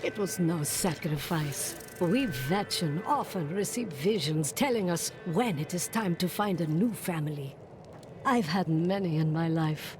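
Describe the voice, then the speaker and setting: A woman voicing a calm mystic seer in a video game, reflective, wistful and wry. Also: on the low side, measured pace, music in the background. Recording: noisy background.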